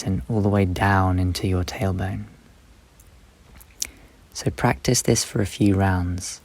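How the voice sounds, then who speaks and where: Low, even-toned man guiding meditation. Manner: unhurried, instructive, with long pauses between short phrases. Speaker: meditation guide. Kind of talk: guided meditation.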